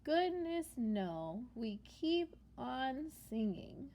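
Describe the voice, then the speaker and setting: Very high, slow, sing-song woman teacher. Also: big pitch swings, mellow, a little hiss or hum. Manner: simple short phrases with deliberate pauses for answers; sing-song, exaggerated delivery for young children. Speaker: preschool teacher. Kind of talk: children's picture book read-aloud with questions.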